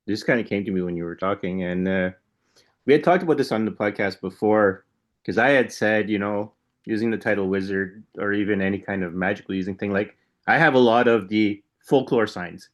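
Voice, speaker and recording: A man's voice: fairly low pitch, fairly quick. A man co-hosting a podcast in casual chat, skeptical and loose, chuckling as he rambles. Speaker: podcast co-host.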